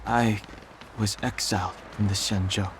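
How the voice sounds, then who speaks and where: An actor playing a troubled, reserved young man in a video game, speaking gravely and guardedly. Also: fairly low pitch, fairly even intonation, a little slow, noisy background. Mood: guarded, regretful. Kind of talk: dramatic video game voice acting.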